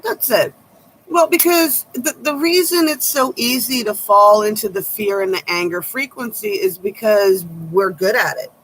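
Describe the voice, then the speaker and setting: A woman tarot reader with a low voice, giving blunt, sharp-tongued advice in a casual chat to her viewers. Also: a little hiss or hum, poor audio.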